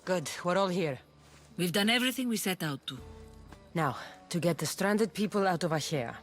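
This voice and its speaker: A woman's voice: fairly low pitch, expressive, moderate pace, faint music behind, lightly acted. An actress playing a confident, wry Greek warrior woman in a video game, with a firm, decisive delivery and a Greek accent. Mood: resolute. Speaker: player character. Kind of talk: cinematic game dialogue.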